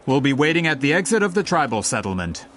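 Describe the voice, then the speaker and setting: Keen, polite merchant, fairly high voice, lively delivery, game cutscene. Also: male voice, fast talker, slight background noise. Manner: courteous, eager, slightly awkward. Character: polite eager male merchant, brisk and persistent.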